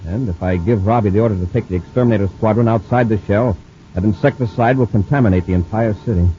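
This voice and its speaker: A man's voice: lowish voice, quick pace, mellow, lightly acted. A man playing a decisive male space patrol commander in a 1950s radio drama, giving clipped orders. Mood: grave. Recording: dull old radio recording with faint hiss.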